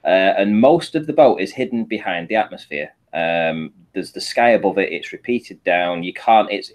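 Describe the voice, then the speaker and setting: A man speaking informally as a panel guest, talking listeners through images step by step and checking they follow. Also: low voice. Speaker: panel guest presenting a photo analysis. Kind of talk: informal explanatory talk over shared images.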